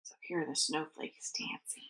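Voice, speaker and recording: A woman reading a children's story aloud like a teacher, expressively, with drawn-out phrasing and hushed asides. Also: in a whisper, poor audio.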